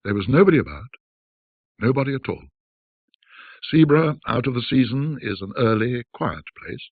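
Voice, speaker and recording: A man reading a classic ghost story as a measured, formal, old-fashioned storyteller. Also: medium pitch, animated. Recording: muffled band-limited audio, roomy echo.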